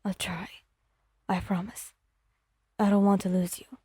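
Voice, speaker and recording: A woman voicing a gruff young man who is a remorseful, tender boyfriend, speaking softly and earnestly in an ASMR fan fiction roleplay. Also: level tone, a little slow, no room sound, clean studio sound. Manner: soft, low, earnest.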